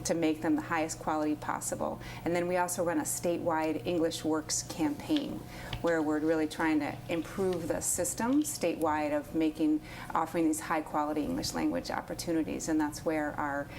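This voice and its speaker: Female nonprofit director guest, low even voice, informative and steady, faint noise behind. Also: moderate pace. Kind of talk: interview guest explaining her program.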